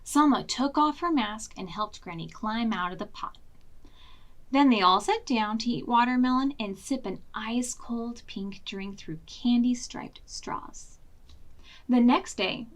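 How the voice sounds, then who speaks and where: A woman with a fairly high, swooping voice reads a children's story aloud. She phrases deliberately and expressively, dropping into hushed asides as a storytime host would for preschoolers. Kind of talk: animated picture-book read-aloud for young children.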